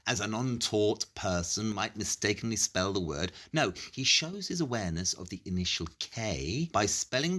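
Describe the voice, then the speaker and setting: A brilliant, self-assured Victorian detective in an audio play. His voice sits fairly low and moves through very lively swings of pitch as he reasons things out with pedantic precision. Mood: analytical. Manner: analytical, declarative, pedantic.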